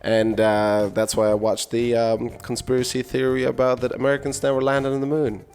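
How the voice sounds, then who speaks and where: A man's voice: mid-range voice, little pitch movement, brisk, creaky, a little mellow, soft background music. A man with a Latvian accent hosting a casual podcast conversation, rambling and searching for words as he puts his questions and thoughts. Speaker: podcast host. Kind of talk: casual interview chat.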